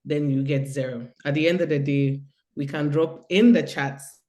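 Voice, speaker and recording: A woman's voice: sits low, fairly even intonation, moderate pace, dark tone. A woman with a cold and a nasal voice, giving a hesitant online presentation over a video call. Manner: hesitant, many fillers. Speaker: guest speaker.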